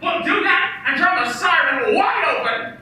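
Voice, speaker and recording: A high-voiced performer playing George, a desperate young man who pleads and shouts for help, recorded from far off in an echoey hall. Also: lively intonation, measured pace, background noise. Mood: overjoyed. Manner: pleading, then frantic shouting, then overjoyed.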